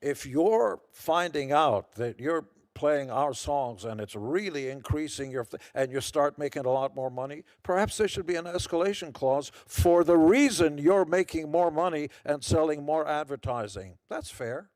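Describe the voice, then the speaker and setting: A man testifies as a witness at a hearing. His voice sits slightly high and the sound is very dry. He explains things in a fairly informal, conversational register. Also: normal speed, few pauses, a little mellow, dry recording. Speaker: witness, musical artist, at a hearing.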